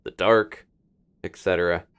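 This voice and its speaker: A man's voice: sits low, fairly even intonation, a little slow, a bit bright, a little hiss or hum. A man doing casual live commentary on a video game as he plays, thinking aloud. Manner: thinking aloud, talking to himself and his game character.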